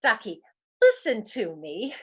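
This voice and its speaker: Actress doing a frightened slangy gangster girlfriend, old radio style, swoopy highish voice, pleading. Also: wildly swooping pitch, a little slow, fairly bright tone, breathy edge, heavily acted. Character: frightened, pleading young gangster's moll on the run. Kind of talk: old-time radio detective drama acting.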